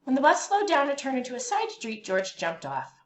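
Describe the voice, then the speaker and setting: A woman narrates a picture book for young children in a fairly high voice. Her delivery swings widely in pitch with deliberate, expressive phrasing. The sound is echoey, with a faint noise behind it. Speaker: read-aloud storyteller. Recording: roomy echo, faint background noise.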